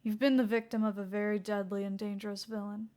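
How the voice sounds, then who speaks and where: A woman's voice: medium pitch, level tone, fast talker, dark tone, close to an everyday voice. Woman reading a deadly serious male detective. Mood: stern, earnest. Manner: earnest, stern, matter-of-fact.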